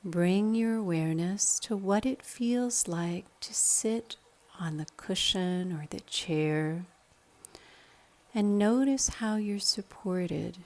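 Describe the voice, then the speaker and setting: A woman leading a guided meditation in a calm, measured, instructional manner. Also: mid-range voice.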